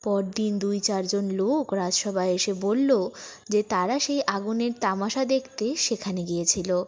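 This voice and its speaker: A woman narrating a children's folk tale in an expressive, dramatic storytelling manner. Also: a little high.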